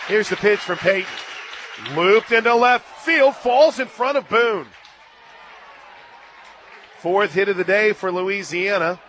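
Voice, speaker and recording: High bright-voiced radio play-by-play man. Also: slow pace, pauses often, some chatter behind, slight background noise. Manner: rises to excited shouts on big plays, laughs easily. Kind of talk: live radio sports play-by-play. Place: stadium radio booth.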